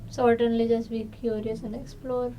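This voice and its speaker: A woman's voice: on the high side, level tone, normal speed, mellow, lo-fi sound. A woman in a casual discussion, reasoning aloud and asking questions, recorded at some distance. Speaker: participant in an unscripted discussion. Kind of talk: casual chat. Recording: distant mic with background noise.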